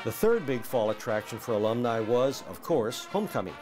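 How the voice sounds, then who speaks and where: A man's voice: mid-range voice, sing-song, a little fast, loud music behind the voice. A man reading scripted narration as the narrator of a university newsreel.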